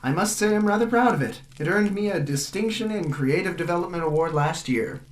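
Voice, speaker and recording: An actor playing a pompous, earnest gentleman decorator, telling his gothic comedy tale in a theatrical, melodramatic manner. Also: high voice, lively intonation, fairly quick. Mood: proud, pompous. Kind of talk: theatrical first-person gothic comedy narration.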